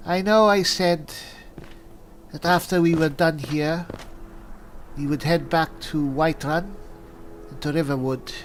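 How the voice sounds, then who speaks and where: A man roleplaying an earnest, worried cleric adventurer in a fantasy game, musing aloud in a halting manner. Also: fairly high pitch, steady tone, slight background noise, close to an everyday voice. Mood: resolved. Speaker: gamer playing a roleplay. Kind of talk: in-character improvised roleplay narration. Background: music.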